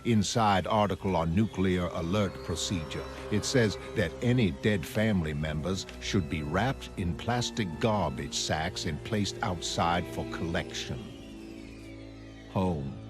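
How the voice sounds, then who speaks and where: A man playing a troubled, weary psychiatrist who narrates his private notes in a motion comic, measured and brooding. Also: sits low, over music. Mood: somber, weary. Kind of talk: scripted diary narration in an animated motion comic.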